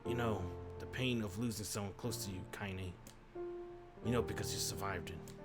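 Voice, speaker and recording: A man voicing a fierce, foul-mouthed tiny old grandmother with a rough rural drawl, shrieking in anger and hushed in tenderness. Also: on the high side, moderate pace, over loud music, voice pushed up, lightly acted. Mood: tender stern.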